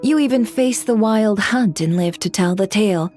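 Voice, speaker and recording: An actress voicing a sly, unhurried female information dealer who drawls and teases. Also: mid-range voice, a little mellow, faint music behind, natural voice. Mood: teasing. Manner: drawling, teasing. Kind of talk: video game dub acting.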